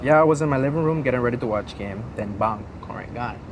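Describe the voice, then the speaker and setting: A man's voice: mid-range voice, quick pace, loud music behind the voice, lightly acted. A man playing a bewildered local homeowner in a comic news skit, talking casually. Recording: noisy outdoor street sound.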